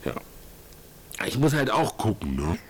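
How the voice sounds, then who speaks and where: An actor playing a laid-back handyman who lets flats, with an offhand, colloquial manner in casual radio play dialogue. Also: mid-range voice, unhurried, a little hiss or hum.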